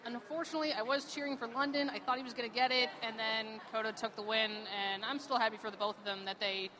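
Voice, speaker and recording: A woman's voice: fairly high pitch, steady tone, fast, a crowd in the background, rough recording. A woman from Pittsburgh, a cosplayer, chatting enthusiastically in an event interview with many fillers. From Pittsburgh, USA. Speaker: cosplayer guest.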